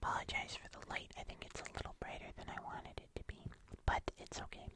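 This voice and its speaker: An ASMR host speaking entirely in a whisper, casually and gently rambling through a hands-on show-and-tell. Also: quick pace. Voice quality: whispered, unvoiced.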